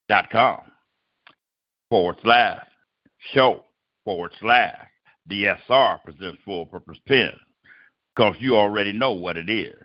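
A man's voice: fairly low pitch, no echo, dull audio. A man hosting an open mic poetry show, speaking in a rhythmic, declamatory MC style. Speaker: host.

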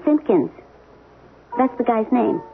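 A woman's voice: on the high side, animated, takes it slowly, gravelly, exaggerated voice. An actress playing a wry, plain-spoken middle-aged wife and former showgirl in a radio drama. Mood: exasperated. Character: frank, wounded middle-aged wife, former chorus girl. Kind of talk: 1970s radio drama acting. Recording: lo-fi phone-like old radio broadcast, music behind.

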